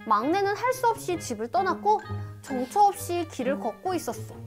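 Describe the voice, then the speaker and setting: A woman's voice: very high-pitched, sing-song, a little fast, faint music behind. A woman narrating a scripted children's story as a storyteller.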